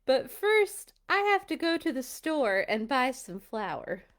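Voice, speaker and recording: A woman voicing an excitable, proud old farmer from a storybook, blustering and exclaiming. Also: high voice, slow pace, exaggerated voice. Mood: proud, cheerful.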